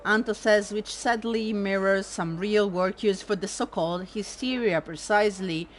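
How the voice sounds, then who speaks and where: A woman with an Italian accent, casually commenting on a game stream in a sardonic, animated way and swearing freely. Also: medium pitch, moderate pace, slight background noise. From Italy. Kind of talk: casual let's-play commentary.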